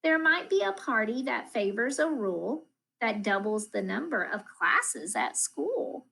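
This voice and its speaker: A woman reads a rhyming children's storybook aloud with expressive, deliberate, rhythmic phrasing, like a school teacher. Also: a little high, vocal fry. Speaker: school math coach reading aloud.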